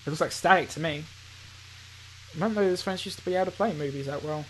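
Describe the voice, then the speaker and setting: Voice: medium pitch, quick pace, a little hiss or hum, low-quality recording. A gaming video host reading a visual novel aloud with playful asides, often stumbling over words and self-correcting.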